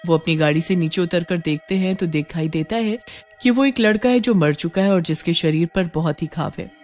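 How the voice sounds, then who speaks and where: A woman's voice: on the low side, normal speed, darkish tone. Female horror story narrator over music.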